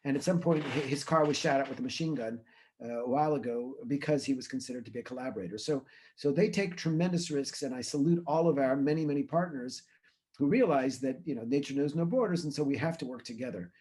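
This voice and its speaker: A man's voice: medium pitch, quick pace, darkish tone. A middle-aged man from Israel, a researcher answering interview questions in an earnest, thoughtful way with many fillers and restarts. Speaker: guest speaker, environmental researcher.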